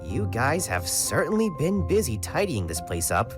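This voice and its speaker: A woman's voice: extremely low pitch, big pitch swings, moderate pace. A woman voicing a cocky, easygoing young male hedgehog hero in a comic dub. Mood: amused. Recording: loud music under the voice.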